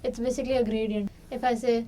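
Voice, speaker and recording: A woman's voice: a little high, little pitch movement, fast, mellow. A woman in a casual discussion, reasoning aloud and asking questions, recorded at some distance. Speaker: participant in an unscripted discussion. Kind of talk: casual chat. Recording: distant mic with background noise.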